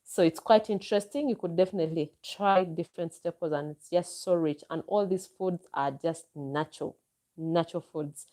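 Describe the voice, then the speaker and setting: Warm, low-voiced Ugandan woman chatting. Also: normal speed. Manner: enthusiastic, chatty, with frequent exclamations. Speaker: vlogger answering viewer comments. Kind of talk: casual live chat.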